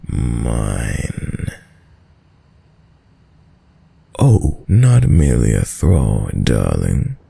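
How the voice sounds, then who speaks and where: A man playing an ancient, sadistic vampire lord in a roleplay audio drama, deep and gravelly, speaking with silky, mock-tender menace and theatrical dominance. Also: dark tone, heavily acted. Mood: possessive, seductive.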